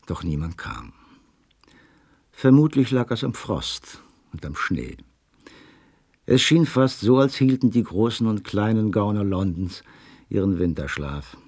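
An actor playing a good-natured, sceptical detective's companion who narrates and talks in a radio crime drama. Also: male voice, deep voice, expressive, a little slow, long pauses, darkish tone, slightly rough, full character voice. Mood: reflective narrating.

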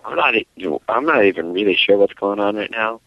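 A very low-voiced man on a dull, lo-fi phone connection, chatting casually as a caller. He speaks haltingly and often repeats his words. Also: animated, no echo. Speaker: caller to a call-in show. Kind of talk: casual chat. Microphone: phone call through internet voice service. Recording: muffled lo-fi internet phone line.

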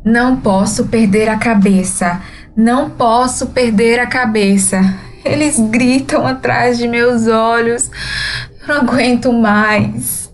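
A woman voicing a tormented woman whose words are scrawled in blood, pleading slowly and desperately. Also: on the high side, fairly even intonation, a little hiss or hum. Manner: slow, desperate, distant-sounding pleading.

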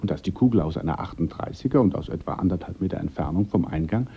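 A man's voice: low-pitched, animated, fast, husky, exaggerated voice. An actor playing a talkative, deferential male police sergeant in a German radio drama, with an officious, knowing manner. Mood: sly, confiding. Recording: muffled, faintly noisy radio recording.

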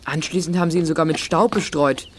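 Woman as boy detective for children's radio play: lowish register, assertive, clever leader, slight background noise. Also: fast, natural voice. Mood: confident, explaining. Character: Tarzan, confident clever boy detective leading his friends. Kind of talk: German children's audio drama acting.

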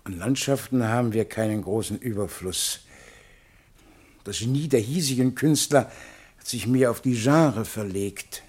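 An actor playing a pompous, long-winded scholar who lectures in florid style in a radio play, with a husky voice. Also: male voice, medium pitch, a little slow, a little mellow. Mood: deferential. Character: pompous, long-winded male scholar showing off paintings to a prince. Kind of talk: German radio play acting.

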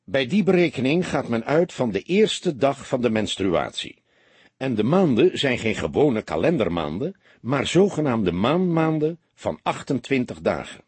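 Man playing a husky, lecturing old detective. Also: medium pitch, big pitch swings, a little mellow, dry recording. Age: older. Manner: didactic, deliberate, mildly reproachful. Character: experienced older male police detective, patient lecturing mentor. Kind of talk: audiobook character dialogue.

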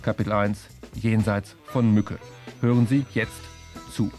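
A man's voice: lowish voice, level tone, a little slow, warm tone, soft background music. A man hosting a radio magazine show in a loose, tongue-in-cheek way with mock grandeur.

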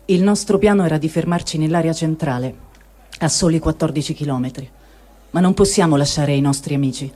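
A man with a mellow, darkish tone and a somewhat high voice reads a first-person diary aloud. He keeps a calm, unhurried pace throughout. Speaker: reader.

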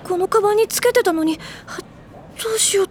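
High-voiced, prickly schoolgirl. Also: fast, a little hiss or hum. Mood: flustered. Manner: curt, brusque, awkward. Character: prickly, aloof teenage schoolgirl hiding her concern. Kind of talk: Japanese audio drama acting.

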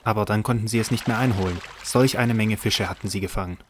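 A man with a low voice narrates scripture for an audio drama. He reads from a script as the storyteller. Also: fast, a little hiss or hum.

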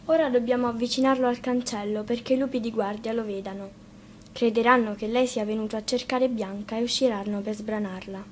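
A woman's voice: fairly high pitch, fairly even intonation, fairly quick, soft background music, natural voice. A woman voicing a confident, bossy six-year-old boy in a fairy tale, speaking firmly and matter-of-factly. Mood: firm, instructing. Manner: matter-of-fact, firm.

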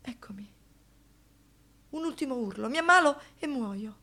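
An actress playing an anguished, defiant survivor in a theatrical radio monologue, moving between hushed murmurs and impassioned outbursts. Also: female voice, sits high, lively intonation, measured pace, exaggerated voice. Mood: bitter, broken resignation. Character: anguished, defiant woman, survivor of a brutal assault.

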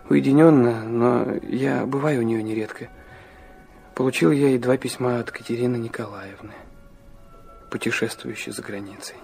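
An actor playing an earnest young man narrating his confessional memoir in a Russian literary radio drama, reflective and dramatic, with pauses. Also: medium pitch, creaky, a little mellow, slightly rough.

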